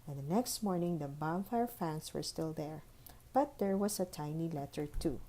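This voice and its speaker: A woman's voice: sits low, moderate pace, dark tone, a little hiss or hum. A woman reading a children's storybook aloud as narrator. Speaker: school librarian reading aloud. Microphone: phone recording.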